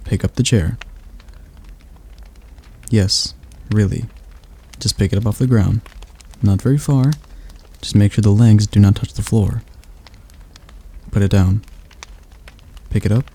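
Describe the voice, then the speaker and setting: A man voicing a patient male demon tutor who teaches magic in a calm, gently commanding ASMR roleplay. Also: on the low side, a little slow, pauses often, breathy edge. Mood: coaxing.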